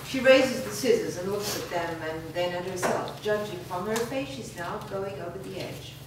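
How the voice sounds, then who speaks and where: A woman's voice: mid-range voice, normal speed. A woman reading stage directions as scripted narration at a staged screenplay reading. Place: live staged reading in a reverberant room. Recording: distant, very echoey room, background noise.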